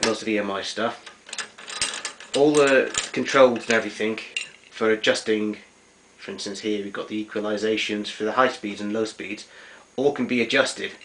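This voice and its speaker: A man's voice: lowish voice, sharp tone. A man giving an unscripted, matter-of-fact hands-on walkthrough of equipment, explaining it as a collector would. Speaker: vintage tape recorder collector giving a demonstration. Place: indoors in a domestic kitchen. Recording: noisy amateur camera sound.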